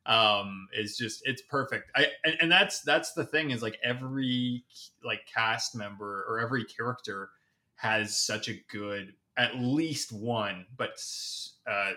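A man guesting on a film review podcast, enthusiastic and halting as he gathers his thoughts in casual chat. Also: mid-range voice, sharp tone.

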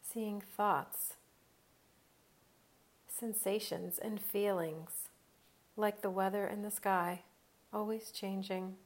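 Slow-paced woman guiding a meditation. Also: mid-range voice. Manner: slow, unhurried, soothing delivery with long pauses. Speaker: meditation guide. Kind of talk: guided meditation narration.

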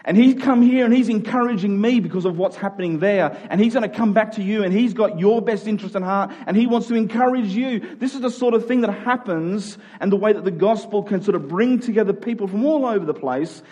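A man's voice: high voice, fairly quick, few pauses, darkish tone. A man speaking as a pastor, giving an earnest sermon to a congregation in a conversational, informal way.

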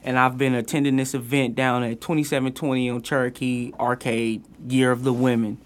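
A young man from St. Louis co-hosts a podcast in easy, slangy conversation. He shouts out local artists and spells their handles out slowly, one letter at a time. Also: medium pitch, little pitch movement. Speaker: podcast co-host. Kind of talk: casual chat.

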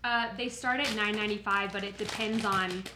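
A woman's voice: medium pitch, fairly even intonation, moderate pace, a crowd in the background. A woman co-hosting a podcast, chatting casually about snacks and reacting warily and dramatically. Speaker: podcast co-host. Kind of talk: casual snack-tasting chat.

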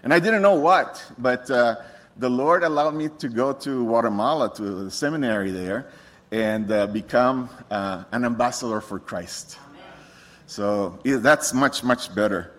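A man's voice: medium pitch, moderate pace. Man sharing his testimony. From Costa Rica. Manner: relaxed, joking, many restarts. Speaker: missionary speaker. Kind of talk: personal testimony to a congregation.

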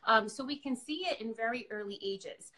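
Woman, native Spanish speaker, sharp bright tone, slightly high pitch, chatty explanatory interview guest. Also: normal speed. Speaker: guest, school counselor. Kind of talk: casual video interview.

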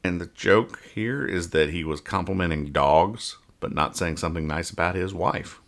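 Low-pitched, bright-voiced man explaining things earnestly. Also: normal speed, gravelly. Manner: mock-earnest, over-explaining, stumbling repetitions. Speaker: podcast host. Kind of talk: casual comic-strip review banter.

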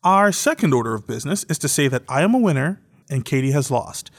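A man's voice: on the high side, very animated, quick pace. A man co-hosting a podcast in a casual, bantering style, playfully argumentative. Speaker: podcast co-host.

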